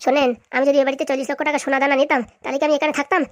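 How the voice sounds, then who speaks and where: A woman's voice: sits high, level tone, racing, dry recording, studio quality, lightly acted. A woman voicing an indignant, sarcastic villager in a comic cartoon, argumentative and long-winded. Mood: defiant. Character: cheeky, indignant villager accused of theft, talking back.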